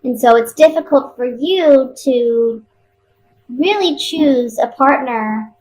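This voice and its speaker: A woman giving a casual, improvised tarot reading to viewers, talking conversationally and thinking aloud with pauses and repeats. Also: sits high, darkish tone, a little hiss or hum, rough recording. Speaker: tarot card reader.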